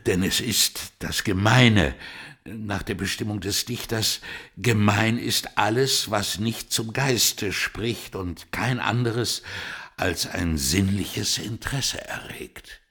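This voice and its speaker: An actor playing a prim, learned, moralizing museum director with a husky voice and a pompous, pedantic manner in a radio play. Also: male voice, on the low side, slow, a bit bright, exaggerated voice. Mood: prim, disapproving. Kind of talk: German radio play dialogue.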